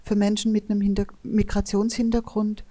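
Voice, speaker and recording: A woman's voice: mid-range voice, flat delivery, a little fast, mellow. A woman giving thorough interview answers about health literacy, explaining with everyday examples.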